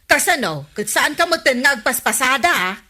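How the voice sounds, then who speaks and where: Sharp, scolding Ilocano councilor. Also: female voice, sits high, sing-song, normal speed, heavily acted. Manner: nagging, emphatic. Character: scolding female village councilor who runs a store. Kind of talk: Ilocano radio comedy drama acting.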